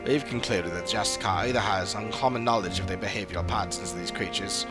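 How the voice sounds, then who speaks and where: Guy as a stern low-voiced game guard officer, very official, music going. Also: quick pace, lightly acted. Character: stern formal fantasy patrol officer giving report. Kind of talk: scripted game dialogue reading.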